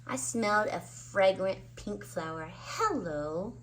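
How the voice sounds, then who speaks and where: A woman's voice: mid-range voice, very animated, slow, slight background noise, low-quality recording. A woman hosting story time, narrating a children's book expressively with dramatic emphasis on words. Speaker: children's story reader and host.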